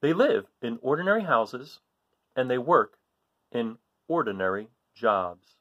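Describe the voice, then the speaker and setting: Man reading aloud with swooping, animated pitch. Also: dull audio. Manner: theatrical, emphatic, drawn-out suspenseful phrasing. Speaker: storybook reader for children. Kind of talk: dramatic read-aloud of a children's book.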